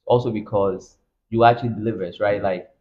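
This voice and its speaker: A man with a low voice hosts a casual interview. He sounds in his thirties. The audio is thin and band-limited, like a phone line. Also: fairly even intonation, brisk. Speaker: interviewer, channel host. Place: furnished room, seated in armchairs.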